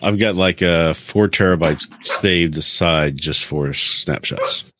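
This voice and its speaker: A man's voice: deep voice, slow pace, pushed and bright, slight background noise. A man chatting casually about tech as a panelist on a show.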